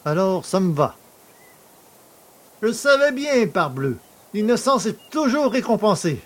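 A man's voice: high voice, very animated, unhurried, slight background noise. A man playing a hapless, self-pitying poacher in a French audio drama, pleading theatrically. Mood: pleased.

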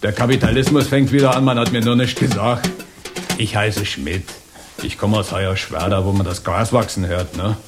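A man's voice: on the low side, steady tone, normal speed, heavy background noise, natural voice. Aggrieved, blustering working man played by an actor. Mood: blustering, confiding. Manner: blustering, plain-spoken, indignant. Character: aggrieved, stubborn small-town East German worker out celebrating. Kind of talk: German radio play acting. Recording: studio radio play with music behind.

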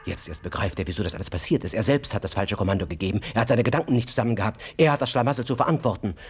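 A man narrating a literary radio drama with tense, deliberate phrasing. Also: fairly low pitch, animated, breathy edge.

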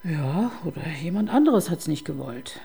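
A woman's voice: fairly low pitch, big pitch swings, normal speed, vocal fry, mellow, breathy edge, exaggerated voice. An actress playing a skeptical female police inspector in a radio crime drama, probing her colleague with dry irony. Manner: dry, probing, ironic questioning. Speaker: detective chief inspector.

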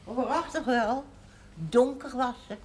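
A woman's voice: fairly high pitch, unhurried, darkish tone, lightly acted. An actress playing a pious, teasing, plain-spoken village woman in a naturalistic television period drama. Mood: frank. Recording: 1970s TV audio with faint noise.

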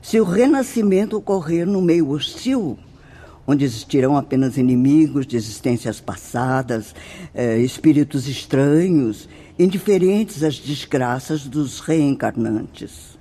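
High, swooping, fatherly spirit mentor. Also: lively intonation, measured pace, warm tone, slight background noise. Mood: grave, emphatic. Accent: Brazilian. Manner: formal, emphatic, preaching. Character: solemn fatherly spirit mentor, earnest and benevolent. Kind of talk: radio drama acting, didactic.

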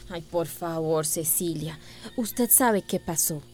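A woman's voice: low-pitched, takes it slowly, darkish tone. An actress playing a proud, stubborn young woman schoolteacher in a radio soap opera. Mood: hurt, defiant. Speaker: actor in a radio drama.

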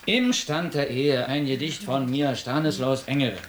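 An actor as a declaiming poet in echoey room. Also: male voice, medium pitch, measured pace, natural voice. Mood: solemn, hesitant. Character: hesitant male amateur poet reciting at a wedding. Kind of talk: radio drama recitation. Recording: echoey room, faint noise.

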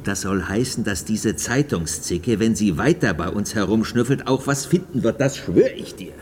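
Radio drama mayor, male actor: low, widely swinging delivery, stern, blunt, colloquial, pressing. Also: lively intonation, normal speed, darkish tone, slight background noise, natural voice. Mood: stern, threatening. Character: stern, worried small-town mayor, gruffly confrontational. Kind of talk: German radio drama acting.

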